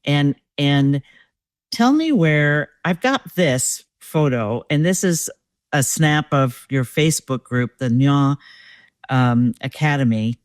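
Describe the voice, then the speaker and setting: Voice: medium pitch, expressive, clear, resonant tone, no echo, studio quality. An executive coach hosting an interview, guiding the guest with questions and reading captions aloud. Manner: guiding, reads captions aloud, pauses to check pronunciation.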